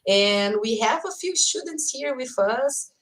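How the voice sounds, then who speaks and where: A woman from Brazil hosting an online panel in English, briskly introducing guests and moving the session along. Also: on the high side, expressive. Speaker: host professor. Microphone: video call.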